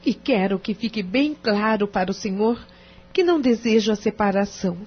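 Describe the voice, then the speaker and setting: An earnest, troubled Brazilian wife, muffled. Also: female voice, medium pitch, lively intonation, normal speed, breathy edge. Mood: earnest, pleading. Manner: formal, earnest, dramatic delivery. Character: distressed, sincere wife pleading her case to a lawyer. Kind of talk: Brazilian audio drama acting. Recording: dull, muffled radio drama recording.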